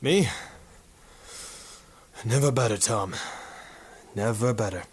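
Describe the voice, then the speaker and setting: A man's voice: mid-range voice, slow pace, slight background noise, close to an everyday voice. A man playing a cocky war photographer turned sleuth in a hard-boiled 1950s noir radio drama, with a dry, wisecracking delivery. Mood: easygoing, wry.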